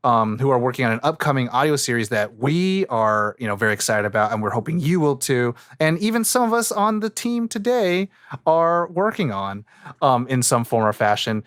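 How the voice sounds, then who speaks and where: A man's voice: medium pitch, big pitch swings, moderate pace, fairly bright tone, clear, resonant tone, no echo. Casting: male podcast host, clean resonant tone, dry sound, rambling hesitant delivery. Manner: rambling, hesitant, self-interrupting. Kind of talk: casual unscripted podcast introduction and chat.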